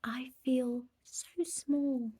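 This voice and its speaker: A woman's voice: high-pitched, drawn-out words, mellow, breathy edge, voice pushed up, full character voice. A woman voicing a tiny female sea snail in a children's storybook, wistful but plucky, in a dramatic, exclaiming way. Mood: small, awed.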